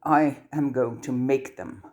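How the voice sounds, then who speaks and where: A woman's voice: very deep voice, fairly even intonation, slow pace, darkish tone. A woman voicing a defiant, resolute teenage boy wizard in an audiobook, speaking in a terse, firm, commanding manner. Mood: cold fury.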